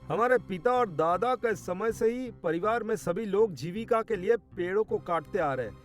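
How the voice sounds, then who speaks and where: A man playing a fearless village woodcutter, speaking assuredly in an animated story. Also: high-pitched, normal speed. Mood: confident. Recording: music behind the voice.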